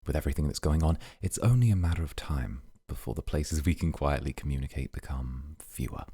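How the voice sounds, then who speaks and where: Guy doing a super deep husky mafia boss, serious, deadpan, dark gravelly asmr rp voice. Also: no echo, clean studio sound. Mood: grave, guarded. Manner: measured, formal, deadpan, menacing understatement. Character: stern, serious, dryly sardonic male mafia boss. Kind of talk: ASMR roleplay acting.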